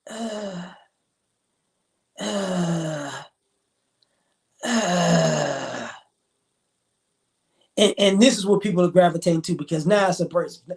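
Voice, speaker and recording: A man's voice: high voice, darkish tone. A man in his forties hosting a live stream, talking in a rambling, emphatic, slangy way. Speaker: livestream host. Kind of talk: casual live-stream commentary.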